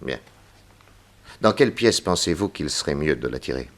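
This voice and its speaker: A man playing a grave, persuasive detective in a 1960s French radio serial, formal, deliberate and insistent. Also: rumbling low, very animated, gravelly, exaggerated voice. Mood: firm, commanding. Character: grave, persuasive male detective, solemnly warning a young woman.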